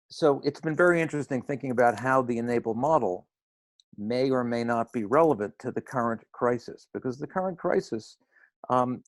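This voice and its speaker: An older man with a hoarse voice from laryngitis, giving an earnest, wry talk on a video call. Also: mid-range voice, no room sound. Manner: earnest, slightly preachy, wry. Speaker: guest speaker. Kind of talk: roundtable talk.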